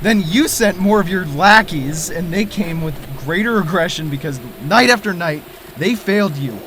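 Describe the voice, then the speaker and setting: An actor playing a lonely conspiracy theorist who stammers but stays defiant. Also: male voice, high-pitched, expressive, moderate pace, a bit bright, a little hiss or hum. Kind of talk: audio drama acting.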